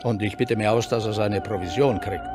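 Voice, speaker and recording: A man's voice: fairly low pitch, huge pitch swings, brisk. Over opera music comes a blustering Prussian statesman, his voice swinging widely. He barks brusque, clipped orders, breaks off into asides and stays bossy and jovially cynical, in radio drama style. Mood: bossy, impatient. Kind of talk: German radio drama acting. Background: opera performance.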